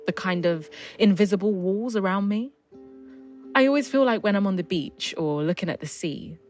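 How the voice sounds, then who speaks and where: A middle-aged woman with a southern English accent reminisces at a medium pitch. She is reflective and a little hesitant, feeling her way through personal stories, with music playing softly behind her. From south coast of England.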